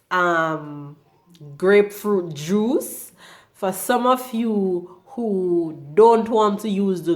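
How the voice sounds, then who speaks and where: A young woman, hoarse from a cold, chatting casually in a home vlog about her diet, with many ums. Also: on the low side. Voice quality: slightly rusty voice. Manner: chatty, unhurried, frequent ums. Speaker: vlogger.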